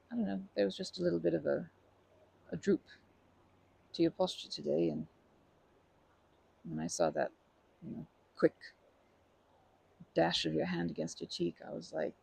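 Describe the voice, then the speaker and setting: A woman performs a timid, gentle park regular offering comfort in an intimate ASMR roleplay. The voice is soft and dark-toned, husky and full of gravelly creak. The delivery is hesitant, with stammers and nervous self-corrections throughout. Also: fairly low pitch, expressive, measured pace, long pauses, exaggerated voice. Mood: shy, concerned. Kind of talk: ASMR roleplay, improvised-sounding intimate monologue.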